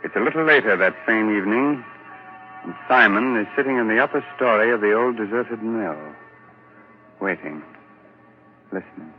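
A man's voice: on the low side, normal speed, long pauses. A man hosting a 1940s radio mystery drama, speaking in a sly, teasing, theatrical way. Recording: muffled old radio broadcast with faint music.

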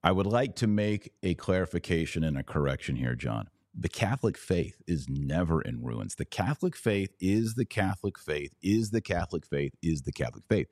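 A man's voice: low voice, animated, normal speed, few pauses, darkish tone, dry recording, clean studio sound. A man hosting a live podcast, reading viewer comments and giving emphatic, opinionated commentary with rhetorical questions. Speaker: podcast host.

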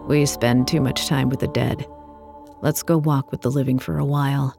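A woman voicing an older man with a kindly, gruffly encouraging manner in an audio story. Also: extremely low pitch, fairly even intonation, moderate pace, over music, voice pushed down. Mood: kindly, warm. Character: warm older man, self-described old fossil, gruffly encouraging.

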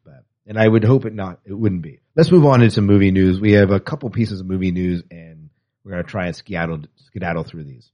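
A man with a fairly low voice co-hosts an entertainment news podcast. He talks casually, like an opinionated, enthusiastic fan. The recording is a bit dull and lo-fi. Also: fairly quick, dark tone. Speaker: podcast co-host.

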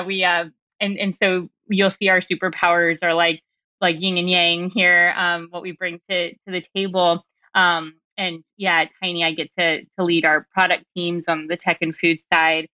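A woman's voice: fairly low pitch, sharp tone, no room sound, poor audio. A woman talking casually and chattily as a startup founder introducing herself, in a rambling way with small chuckles. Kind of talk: casual spoken self-introduction.

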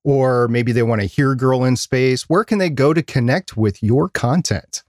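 A man's voice: medium pitch, normal speed, a little mellow, no echo, clean studio sound. A man co-hosting a podcast, explaining things in a conversational way. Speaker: podcast co-host.

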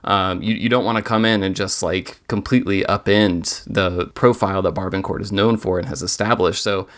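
A man hosts a podcast interview, working his way through long, winding questions. He often restarts his sentences as he goes. His voice sits a touch low. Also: fairly even intonation, normal speed, fairly bright tone. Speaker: podcast host.

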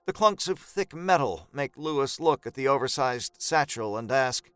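A man's voice: medium pitch, lively intonation, normal speed, fairly bright tone, clear, resonant tone, dry recording. A man narrating a scripted horror story as part of an audio drama.

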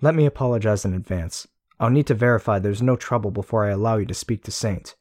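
A man's voice: on the low side, fast, a little mellow, natural voice. A man reading a polite, procedural adult heroine in an audiobook. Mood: courteous. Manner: courteous, procedural.

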